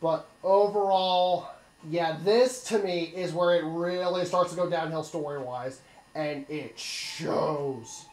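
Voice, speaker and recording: A man's voice: on the high side, slow pace, a little mellow, roomy sound, a little hiss or hum, low-quality recording. A man hosting a casual let's play commentary, offhand and swearing casually.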